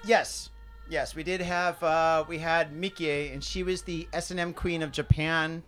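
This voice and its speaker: A man's voice: fairly high pitch, fairly even intonation, measured pace, a bit bright, soft background music. A man from the Detroit area, a guest on a casual podcast, who swears freely and keeps saying "dude". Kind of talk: casual interview chat.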